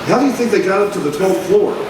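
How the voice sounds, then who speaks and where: Man as placating, doubting reporter, vintage radio drama, lightish pitch, very roomy distant sound. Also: animated, fast. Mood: skeptical, placating. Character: male newspaper reporter, mild, skeptical, placating. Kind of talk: 1940s radio acting. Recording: roomy, noisy live stage recording from afar.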